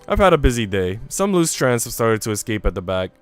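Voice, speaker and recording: A man's voice: medium pitch, sing-song, a little fast, clean tone, close to an everyday voice. A man reading aloud a teasing, affectionate, proper lady from a visual novel, playful and genteel, over music. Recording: music playing behind the voice.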